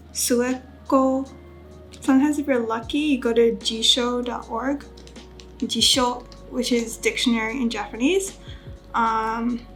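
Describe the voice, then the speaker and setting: Female study vlogger, very unhurried delivery, casual and careful with pronunciation, light music underneath. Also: on the high side, fairly bright tone. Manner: self-conscious, careful when pronouncing foreign words. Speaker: study vlogger and Japanese learner. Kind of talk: casual study-with-me chat, sounding out vocabulary.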